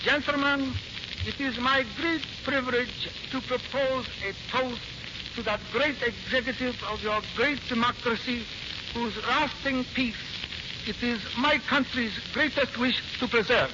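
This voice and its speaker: Smooth envoy, unusually high pitch, formal and measured, 1940s radio play with heavy hiss. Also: male voice. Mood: solemn, courtly. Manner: formal, ceremonious, measured oratory. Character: smooth, solemn Japanese envoy proposing toasts of peace. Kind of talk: 1940s radio drama acting. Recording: noisy, muffled 1940s radio recording.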